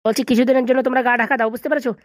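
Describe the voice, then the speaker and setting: A man's voice: very high-pitched, steady tone, rapid-fire, no room sound, clean studio sound. A man voicing a vengeful, bossy corrupt local politician in a comedy cartoon, boastful and insistent. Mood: bossy, scheming. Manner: bossy, boastful, repeatedly checking he is understood.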